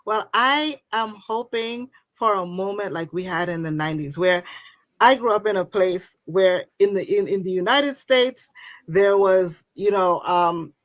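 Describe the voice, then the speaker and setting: A woman's voice: mid-range voice, measured pace, no room sound. Woman speaking on a dry line. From Guyana. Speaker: panelist. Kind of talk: panel discussion answer.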